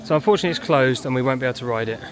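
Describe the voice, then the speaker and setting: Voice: mid-range voice, very fast, a crowd in the background. A parent narrating a family vlog in a casual walk-through style, out and about in a theme park. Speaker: vlogger, parent on a family outing. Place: outdoors in a theme park, walking, sometimes inside small buildings. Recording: loud music behind, outdoor park ambience. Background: loud music.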